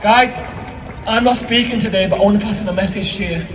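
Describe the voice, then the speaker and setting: A man with a husky voice giving a forceful, exhorting speech at a cold outdoor rally. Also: high-pitched, fast. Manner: forceful, exhorting, repeated appeals. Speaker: rally speaker and chant leader. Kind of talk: rousing protest speech. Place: outdoor street rally in winter. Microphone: camera in the crowd, at a distance. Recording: echoey, distant, with loud crowd and street noise.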